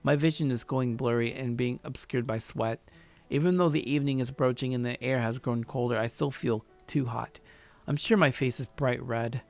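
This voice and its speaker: A man narrating a first-person story aloud in an expressive way, now and then stumbling over his words. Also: medium pitch, normal speed, vocal fry. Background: faint music.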